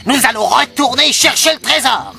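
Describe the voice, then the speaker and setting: A man voicing a bossy, hot-tempered pirate captain in a cartoon, gruff, domineering and mocking. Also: very high voice, lively intonation, moderate pace, bright, forceful tone, faint music behind, heavily acted. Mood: scornful. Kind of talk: animated cartoon dub acting.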